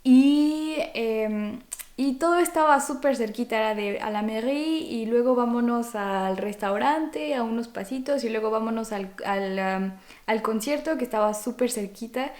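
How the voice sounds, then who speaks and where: Higher-voiced Mexican woman chatting casually. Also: normal speed, a little mellow. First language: Spanish. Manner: informal, chatty. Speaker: vlog host. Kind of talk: casual talk to viewers.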